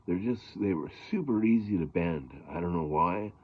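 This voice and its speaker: Gravelly low-voiced man, tinny sound. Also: lively intonation, normal speed. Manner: rambling, many fillers and throat clearing. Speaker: blues harmonica player. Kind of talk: casual talk to camera.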